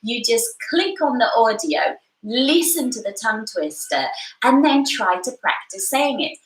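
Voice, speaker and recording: A woman's voice: fairly high pitch, expressive, measured pace. A British woman, an English teacher and co-host, chatting and explaining at length, laughing easily. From Britain. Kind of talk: casual chat about their English club. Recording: echoey room.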